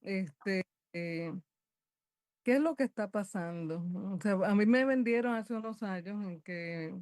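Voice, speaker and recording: A woman's voice: mid-range voice, unhurried, a little mellow, no echo. Female TV host, chatty and playful, dry close sound, rambles. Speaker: talk show host. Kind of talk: casual chat on TV. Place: TV studio.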